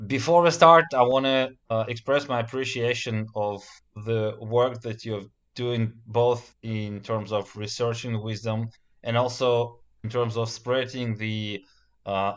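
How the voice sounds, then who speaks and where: A man's voice: fairly low pitch, clear, resonant tone. A man whose first language is Russian, politely hosting an interview with careful, hesitant phrasing. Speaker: podcast host. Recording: dull lo-fi recording.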